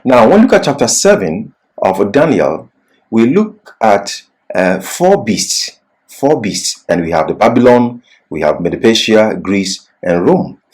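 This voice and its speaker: A man with a fairly low voice delivers an expository scripture lesson. His intonation is highly animated, rising and falling widely as he explains in a didactic way and puts weight on key words. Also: a little slow, rough recording. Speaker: Bible teacher. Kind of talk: expository Bible lecture.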